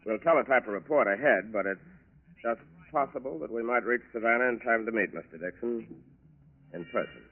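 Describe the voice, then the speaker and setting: An actor playing a methodical, businesslike FBI agent with a clipped, matter-of-fact manner, in 1940s radio drama style. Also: male voice, medium pitch, fairly quick, natural voice. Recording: tinny 1940s radio recording.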